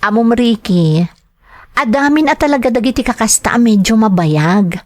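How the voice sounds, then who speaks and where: A woman's voice: a little high, lively intonation, moderate pace, a little mellow, dry recording, natural voice. Woman acting a persuasive young Filipino recruiter. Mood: persuasive, coaxing. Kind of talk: dramatized audio drama dialogue.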